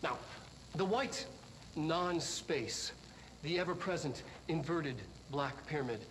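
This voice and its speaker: An actor playing an eccentric middle-aged male head scientist in a video game, lecturing deliberately and haltingly in an instructional video. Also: on the high side, slight background noise, poor audio. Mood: earnest lecturing. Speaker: head of research. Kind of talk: in-world instructional video presentation.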